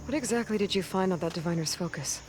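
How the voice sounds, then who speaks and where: An actress playing a level-headed, curious outlander heroine in a video game, speaking in a measured, dryly wry way. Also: female voice, lowish voice, background noise, natural voice. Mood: curious, supportive. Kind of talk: video game cutscene acting.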